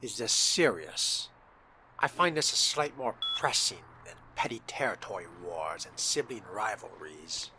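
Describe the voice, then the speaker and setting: A man voicing a composed, haughty young vampire in a role-playing game, speaking formally and deliberately with wry theatricality. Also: lowish voice, lively intonation, pushed and bright, a little hiss or hum, full character voice, low-quality recording. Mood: disdainful. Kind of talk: in-character gameplay narration.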